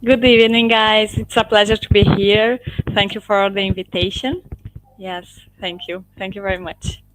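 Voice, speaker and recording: A woman's voice: mid-range voice, level tone, normal speed, dry recording, poor audio. A woman from Brazil with a Brazilian Portuguese accent, a native Portuguese speaker, giving a webinar talk in English with many fillers and restarts and a habit of adding "okay?". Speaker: guest speaker, lean project manager and professor. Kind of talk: webinar presentation.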